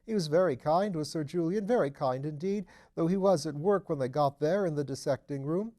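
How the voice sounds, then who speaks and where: A man's voice: on the high side, fairly quick, mellow, pitched above the performer's own voice, natural voice. A man voicing a nervous, obliging, chatty Englishman who is easily agitated, as a character in an audiobook. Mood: earnest, chatty. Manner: agitated, chatty, deferential, rambling.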